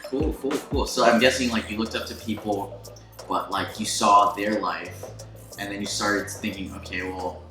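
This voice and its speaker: A man in his twenties hosting a casual sit-down interview, excitable, laughing often and full of fillers. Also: on the low side, normal speed. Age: 20s. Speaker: host. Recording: echoey room with music behind.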